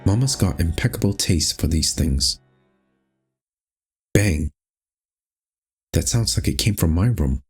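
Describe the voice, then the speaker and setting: A man's voice: low-pitched, lively intonation, slow pace, pauses often, slightly rough, soft background music. A man reading scripted, storybook-style narration for a horror game.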